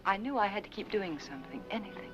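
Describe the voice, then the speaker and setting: A dull vintage recording with clear music underneath carries an actress as a gentle, motherly wife with an ill husband. Her delivery is kindly and anxious, with lively, feeling-filled swings of pitch in an old-fashioned dramatic manner. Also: fast, close to an everyday voice. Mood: hurt, reflective. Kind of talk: old-time dramatic acting with confiding narration.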